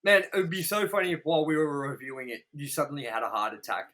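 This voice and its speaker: A man's voice: a little high, quick pace, fairly bright tone. An Australian man hosting a podcast, talking casually and crudely in a ranting, swear-heavy film review. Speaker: podcast host.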